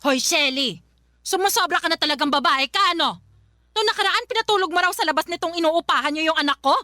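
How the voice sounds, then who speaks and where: A woman's voice: extremely high pitch, fast, pushed and bright, no room sound, clean studio sound. A woman acting as an overbearing Filipino mother who scolds and threatens while defending her grown son in a radio drama. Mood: indignant scolding.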